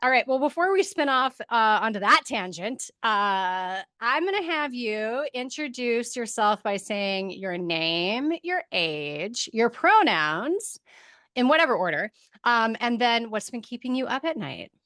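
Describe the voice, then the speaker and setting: A woman's voice: a little high, very animated, a little slow, sharp tone, no echo, studio quality. A woman hosting a podcast, talking in a playful, chatty, conversational way. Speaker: podcast host. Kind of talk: casual podcast chat.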